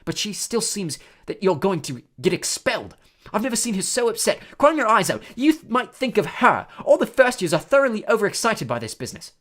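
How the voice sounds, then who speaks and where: Pompous teenage prefect for a storybook, played by a narrator: indignant, bossy, fiercely scolding, very animated. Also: mid-range voice, fast, pitched above the performer's own voice.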